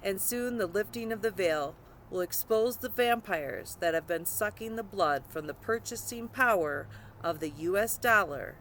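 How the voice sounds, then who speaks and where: A woman's voice: mid-range voice, animated, moderate pace, a bit bright, a little hiss or hum, poor audio. A woman narrating a scripted nonfiction audiobook, reading aloud.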